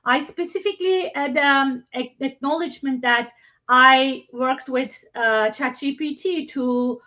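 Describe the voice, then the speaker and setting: A woman presenting a workshop and answering questions over a video call. She speaks haltingly, searching for words in her second language. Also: high voice, pushed and bright.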